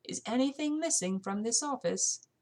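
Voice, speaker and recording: Woman voicing a brisk, skeptical English police officer. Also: fairly high pitch, animated, darkish tone, pitched above the performer's own voice, lightly acted. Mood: businesslike. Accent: British (put on). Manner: formal, inquisitive.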